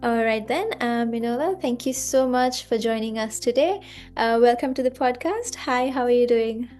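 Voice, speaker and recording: A woman's voice: a little high, normal speed, darkish tone, faint music behind. A woman hosting a podcast, reading a formal introduction and then asking interview questions in a polite way, with the odd filler word. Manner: reads from a script, polite and formal, with some filler ums. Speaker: podcast host.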